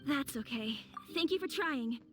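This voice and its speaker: A woman's voice: high voice, animated, unhurried, over music. A woman voicing a young boy in a video game who is anxiously searching for his father. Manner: pleading, polite.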